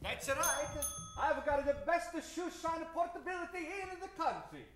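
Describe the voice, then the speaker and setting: A man's voice: extremely high pitch, big pitch swings, moderate pace. A man playing a brash caricature salesman in a comic radio advert. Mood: boastful. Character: brash Italian caricature pitchman, Signore Zimco, selling shoe shiners. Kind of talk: comic old-time radio advert acting. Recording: echoey stage recording.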